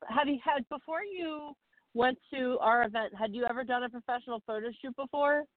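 A woman hosting a podcast interview in an excited, gushing manner. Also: high-pitched, a little fast, no room sound. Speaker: podcast host. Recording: muffled phone-line sound.

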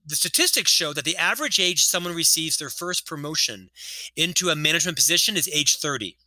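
A man's voice: a little high, lively intonation, brisk, bright, forceful tone, no room sound, rough recording. A man in his fifties who has a stutter, telling his story earnestly as a guest in an interview. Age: 50s. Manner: earnest storytelling, lectures with statistics. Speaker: author and podcast guest. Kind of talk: casual interview talk.

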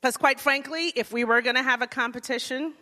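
Bright-voiced woman preaching in a reverberant church. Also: high-pitched, brisk. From Jamaica. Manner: lively, engages congregation, softens into prayer. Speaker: guest speaker. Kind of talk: church sermon talk. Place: church sanctuary. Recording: long reverb of a large hall.

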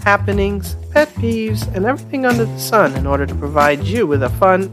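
A woman's voice: mid-range voice, a little fast, music in the background. A woman hosting her own opinion podcast in a casual, chatty monologue, sounding stuffy from a cold and repeating points for emphasis. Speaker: podcast host.

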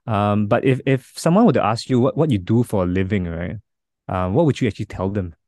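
A man's voice: fairly low pitch, darkish tone, no room sound, clean studio sound. A man in his forties hosting a podcast, talking casually with many restarts. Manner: halting, many restarts and fillers. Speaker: podcast host.

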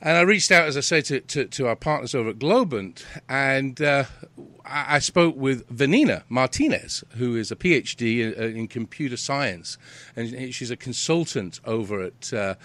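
A man's voice: medium pitch, animated, moderate pace, a bit bright, no room sound. A British man hosting a casual interview, rambling, repeating words and restarting sentences. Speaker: host.

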